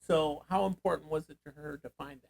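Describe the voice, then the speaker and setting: A man's voice: on the high side, fairly even intonation, a little fast, gravelly, a little mellow. An older man, a grandfather, leading a casual Bible reading, thinking aloud and prompting with questions. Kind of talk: casual teaching chat.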